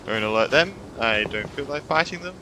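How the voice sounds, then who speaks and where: A man's voice: mid-range voice, lively intonation, a little fast, noisy background. A man giving casual, reactive commentary while playing through a video game. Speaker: gamer playing through a story quest. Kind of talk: casual playthrough commentary, reacting and reading along.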